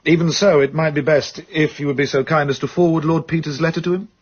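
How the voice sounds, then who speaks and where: Discreet, husky manservant. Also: male voice, on the high side, fairly even intonation, fast, dull audio. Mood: polite reserve. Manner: formal, precise, reserved. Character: discreet, courteous English gentleman's manservant, formal and deferential. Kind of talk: radio drama acting.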